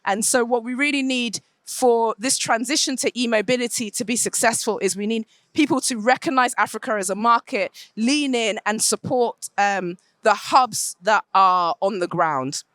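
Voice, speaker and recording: A woman's voice: fairly high pitch, moderate pace, hardly any pauses, a bit bright, no room sound. English-accented woman giving a conference talk. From United Kingdom. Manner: fluent but full of ums and restarts. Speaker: conference speaker, company head. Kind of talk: conference presentation and Q&A.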